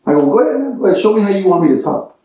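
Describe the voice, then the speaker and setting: A man, a DJ, telling a story fast in casual podcast chat and acting out the dialogue. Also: sits high, big pitch swings. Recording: distant, dull and echoey.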